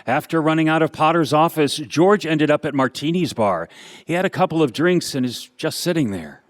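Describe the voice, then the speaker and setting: Solemn storyteller, male actor, slightly high voice, scripted radio drama narration. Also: lively intonation, normal speed, no room sound, natural voice. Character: solemn radio-play narrator guiding an angel.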